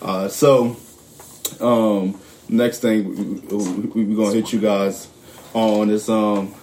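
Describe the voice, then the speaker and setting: A man co-hosting a casual sports chat, excitable, stumbling through restarts and fillers, jumping up in pitch when he gets worked up. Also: slow, a little hiss or hum. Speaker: podcast co-host.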